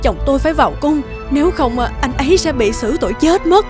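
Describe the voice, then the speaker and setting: A frightened wife in an animated tale. Her high voice pleads in distress, with faint music behind her. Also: fast talker. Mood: pleading. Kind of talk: animated moral tale dubbing.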